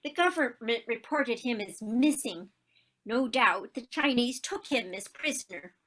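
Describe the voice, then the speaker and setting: Actress as a slow, wistful old romantic lady. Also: fairly high pitch, expressive, a bit bright, low-quality recording. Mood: nostalgic, yearning. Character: wistful, romantic elderly woman who walks with a cane. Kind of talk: one-act stage play performed on a video call.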